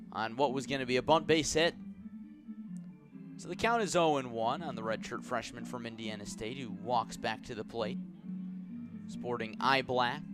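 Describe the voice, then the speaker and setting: A man calling a baseball game live as a radio play-by-play announcer, with measured, descriptive delivery that lifts when the action picks up. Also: medium pitch. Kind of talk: live sports commentary.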